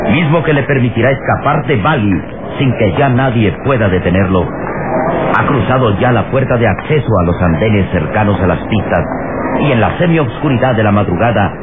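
A man's voice: mid-range voice, very noisy. A man narrating a radio serial in a dramatic, scripted style. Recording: tinny phone-like old radio recording, loud music.

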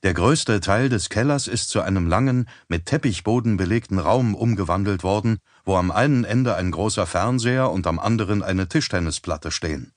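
A man reading an audiobook as narrator in a measured, even storytelling manner on a close studio mic. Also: fairly low pitch, no room sound. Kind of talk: scripted narration.

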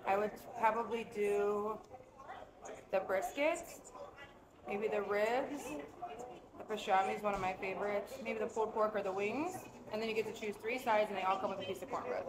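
A woman working as a server walks a customer through the menu, offering friendly recommendations. Her voice is middle-pitched. The room rings with echo and the chatter of other diners fills the space. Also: moderate pace. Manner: helpful, recommends dishes. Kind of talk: taking an order. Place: restaurant counter, indoors. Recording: echoey room with crowd chatter.

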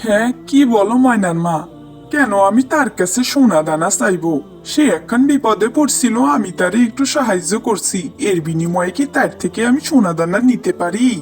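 A man's voice: high-pitched, lively intonation, moderate pace, soft background music. A man voicing an honest, long-suffering village husband in a cartoon, earnest and plaintive, with a Bangladeshi accent. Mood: earnest protest. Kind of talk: cartoon voice acting.

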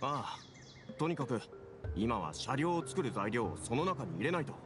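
A man voicing a brusque young railway caretaker in a Japanese anime game, speaking in a rough, casual, animated way. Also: medium pitch, normal speed, natural voice. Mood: matter-of-fact. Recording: music behind the voice.

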